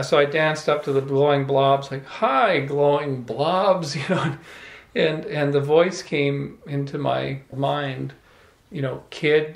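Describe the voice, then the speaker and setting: A middle-aged man telling an animated, playful story to a small audience, holding a handheld microphone. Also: on the high side, gravelly. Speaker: salon speaker. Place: room with small live audience. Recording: echoey room.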